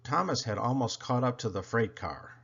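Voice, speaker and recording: A man reading a children's story aloud in an expressive, dramatic, deliberate way. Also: mid-range voice, gravelly, breathy edge, dull audio. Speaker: storybook reader.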